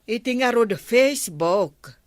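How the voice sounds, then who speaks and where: Slow-talking, exasperated Ilocano housewife. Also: female voice, a little high, animated, no echo, studio quality. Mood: dismayed. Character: barangay captain's wife, gullible online shopper, exasperated. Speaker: radio drama actress. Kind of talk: Ilocano radio comedy drama acting.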